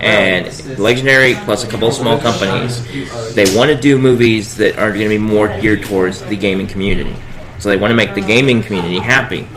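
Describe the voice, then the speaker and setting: A man's voice: on the low side, rough recording. A man co-hosting a podcast, chatting casually like an enthusiastic gamer. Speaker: podcast co-host. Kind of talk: casual chat. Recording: crowd noise around the voice. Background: crowd chatter.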